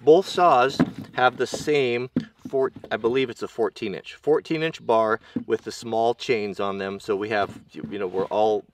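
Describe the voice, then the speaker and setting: A man's voice: medium pitch, fairly even intonation, slight background noise. A man in his forties testing tools outdoors, talking casually with many restarts and fillers. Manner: rambling, halting, full of restarts and fillers. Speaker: vlogger testing chainsaws. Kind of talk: casual hands-on demonstration. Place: outdoors in a yard by a tractor.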